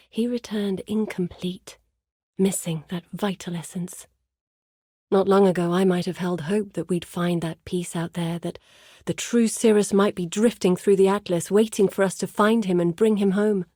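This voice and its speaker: An earnest, watchful female guide from a dark fantasy game. Her mid-pitched voice has a breathy, slightly rough grain, recorded very dry. She speaks gravely, in careful and formal phrases. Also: dry recording. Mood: sorrowful. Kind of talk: dramatic fantasy video game dialogue.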